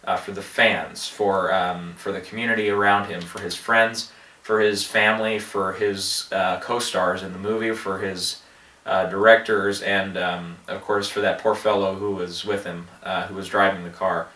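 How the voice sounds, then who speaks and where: A man's voice: low voice, level tone, pushed and bright, recorded from a distance. Man with a head cold, echoey. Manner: halting, many fillers and restarts. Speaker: movie box office vlogger. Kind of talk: casual rambling commentary. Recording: echoey room, voice off-mic.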